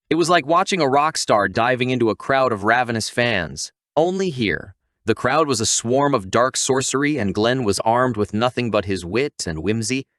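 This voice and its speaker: A man's voice: medium pitch, a bit bright, dry recording. Theatrical male recap narrator, dry studio sound. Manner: playful, theatrical storyteller addressing the audience.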